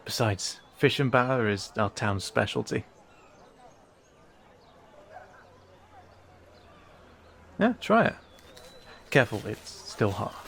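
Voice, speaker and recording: A man's voice: mid-range voice, gravelly, fairly bright tone, full character voice. A man playing a wary young male cat-person guide in a fantasy audio drama, speaking casually and hesitantly straight to the listener. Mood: friendly, amused. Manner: hesitant, casual, conversational asides.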